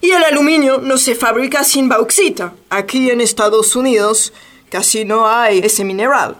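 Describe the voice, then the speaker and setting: An actress playing an alarmed, forceful military chief in a radio play. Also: female voice, a little high, moderate pace, natural voice. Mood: urgent, demanding.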